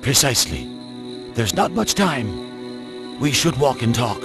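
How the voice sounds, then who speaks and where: An old exiled scholar from a video game. His speech is formal and portentous, rising and plunging dramatically over clearly audible music. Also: medium pitch, big pitch swings, slow pace. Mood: ominous, instructive. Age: older. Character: grave, urgent old exiled scholar warning of evil.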